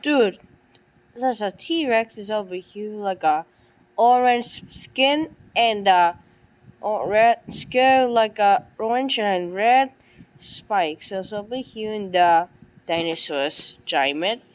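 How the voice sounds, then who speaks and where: A woman's voice: medium pitch, animated, slow pace, no room sound. A woman improvising a dramatic scenario, speaking in a hesitant, repetitive way. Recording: noisy homemade recording.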